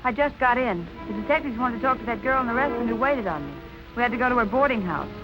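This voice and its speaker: A woman's voice: on the high side, lively intonation, quick pace, music in the background, lightly acted, rough recording. An actress playing an anxious, guarded young woman in 1940s film noir style, polite and earnest. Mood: reassuring. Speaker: actor.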